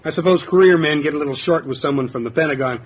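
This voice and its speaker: Mild-mannered male inventor, polite, slightly high husky voice, muffled radio-play recording. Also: quick pace. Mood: matter-of-fact. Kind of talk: radio drama acting. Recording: dull, lo-fi radio drama sound.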